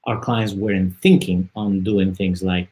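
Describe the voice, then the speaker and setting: A man from southern Spain speaks as a guest on a panel video call. His voice sits fairly low. He talks fluently, though he often restarts and fills pauses as he explains. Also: fairly even intonation, a little mellow. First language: Spanish. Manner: fluent, many fillers and restarts. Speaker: panel guest, technology executive. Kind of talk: conversational panel talk.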